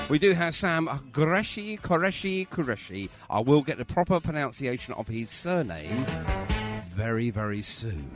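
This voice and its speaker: A man presenting a radio show in a chatty, jokey style with cheeky banter. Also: on the high side.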